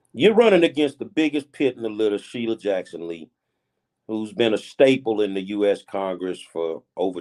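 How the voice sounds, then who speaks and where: A man's voice: sits low, darkish tone. A man in his fifties hosting a talk show, interviewing a guest in a casual, emphatic way with informal grammar. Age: 50s. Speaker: show host.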